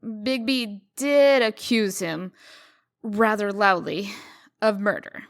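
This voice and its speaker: A woman's voice: medium pitch, slow pace. A woman voicing a poised, formal, businesslike deputy to a mayor, precise and dryly skeptical, in a comic dub. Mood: measured, skeptical. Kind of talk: comic dub character acting.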